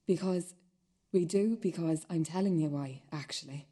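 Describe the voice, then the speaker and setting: A woman acting a nagging younger sister in a radio drama. Her voice is lowish, soft-edged and husky, and she argues stubbornly, repeating her point. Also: moderate pace. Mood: insistent, exasperated. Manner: stubborn, repetitive, argumentative. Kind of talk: radio play dialogue.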